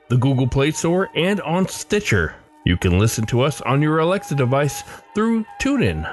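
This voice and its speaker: A man hosting a podcast and reading scripted narration. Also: fairly high pitch. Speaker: podcast host. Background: faint music.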